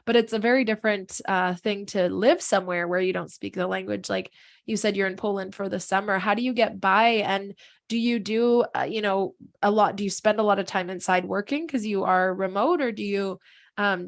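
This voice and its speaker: A woman's voice: medium pitch, moderate pace, vocal fry. A woman hosting a casual interview, asking questions and reacting with enthusiasm. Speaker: interview host.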